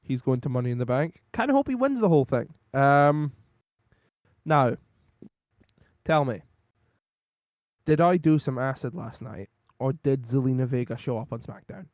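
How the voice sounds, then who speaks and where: A man co-hosting a podcast and chatting casually, rambling with lots of ums, his voice going hoarse. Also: medium pitch, expressive, normal speed, long pauses, a little mellow, no room sound, studio quality. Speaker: podcast co-host. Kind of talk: casual wrestling recap chat.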